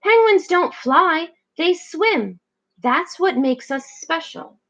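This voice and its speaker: A woman voicing a gentle mother penguin who soothes and encourages. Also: very high-pitched, lively intonation, takes it slowly, pitched above the performer's own voice, exaggerated voice.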